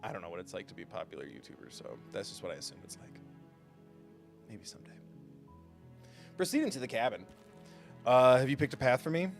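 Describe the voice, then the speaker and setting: A man's voice: medium pitch, huge pitch swings, fast talker, vocal fry, long pauses, music in the background. A man live-streaming a game, chatting in a jokey, playful and rambling way. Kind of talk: casual live-stream commentary.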